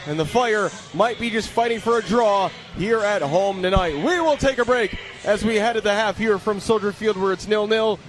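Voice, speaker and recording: A man doing live play-by-play soccer commentary on the radio, from an open-air stadium. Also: sits high. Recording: noisy outdoor stadium ambience. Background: loud stadium ambience.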